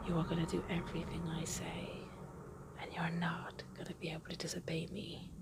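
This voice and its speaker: A woman playing a seductive, menacing female demon in a dark roleplay, speaking in a breathy, creaky whisper with a taunting, possessive manner. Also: lowish voice, completely flat delivery, very noisy. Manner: slow, drawn-out, taunting, possessive. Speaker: roleplay audio performer.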